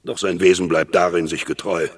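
An actor playing a proud, scheming enemy general in a classical verse radio drama, brooding and measured. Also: male voice, sits low, level tone, breathy edge.

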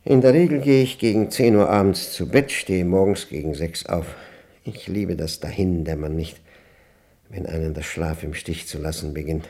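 An actor playing an aging, introspective, solitary man in a radio play monologue, speaking in halting, deliberate phrases with a husky voice. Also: deep voice, dark tone. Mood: sober, reflective. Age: older. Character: aging, solitary man brooding over a humming machine.

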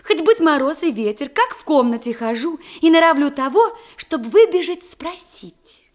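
An actress playing a modest, eager young bride in love, in a theatrical verse comedy for radio. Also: female voice, high voice, expressive, moderate pace. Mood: coy.

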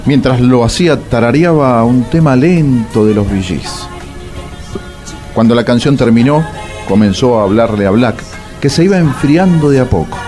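A man with a Rioplatense Argentine accent narrating a radio drama in a wry, theatrical way, with dramatic pauses. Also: medium pitch, animated, warm tone, faint music behind.

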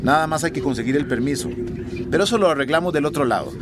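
A man acting as a cocky, slick people smuggler in a radio drama. His higher male voice swings in a lively way over loud ambient noise. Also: fast, close to an everyday voice. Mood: brash, persuasive. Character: brash, slick migrant smuggler drumming up customers.

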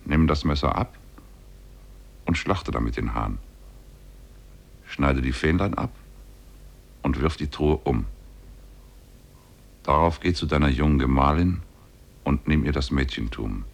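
A man acting a scheming demon ape in a 1950s radio drama, commanding and deliberate. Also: extremely low pitch, animated, creaky, heavily acted. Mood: commanding, insistent.

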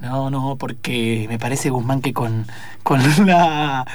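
A man playing a mild, apologetic police sidekick, soft and halting, in improvised radio comedy. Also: medium pitch, natural voice. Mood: hesitant explaining. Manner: soft, halting, repeats words.

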